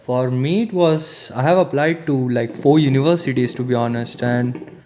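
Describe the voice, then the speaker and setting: A young man talks in an easy, candid way on a student podcast. His voice sits at a mid pitch, and faint music plays behind him. He keeps leaning on phrases like "to be honest.". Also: moderate pace, a little mellow. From western India. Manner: informal, repeats "to be honest". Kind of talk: casual chat.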